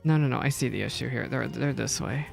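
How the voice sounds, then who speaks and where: A woman's voice: rumbling low, fast, gravelly, soft background music. A woman chatting casually and playfully as she reacts to a video game she is playing. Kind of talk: casual gameplay reaction commentary.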